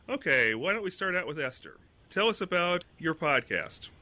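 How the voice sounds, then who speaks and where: A man's voice: sits high, sing-song, normal speed, pushed and bright, no room sound. A man hosting a roundtable discussion, putting questions to his guests in a casual way with fillers. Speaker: podcast host, moderator.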